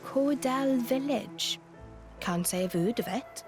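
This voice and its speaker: A woman playing a blunt, short-tempered young noble adventurer with a husky voice in a video game cutscene. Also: fairly high pitch, takes it slowly, over music. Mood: concerned.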